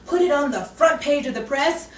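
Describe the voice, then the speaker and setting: A woman's voice: high voice, normal speed, close to an everyday voice. A woman playing a sarcastic, exasperated 1940s Hollywood wisecracker in theatrical radio comedy style. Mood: sardonic. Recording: roomy, distant mic, noisy live room.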